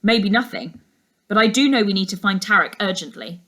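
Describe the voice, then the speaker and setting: A woman's voice: medium pitch, bright, forceful tone, lightly acted. Woman reading as a grave, commanding detective uncle. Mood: urgent, authoritative. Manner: measured, commanding, matter-of-fact. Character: serious, authoritative ex-detective uncle.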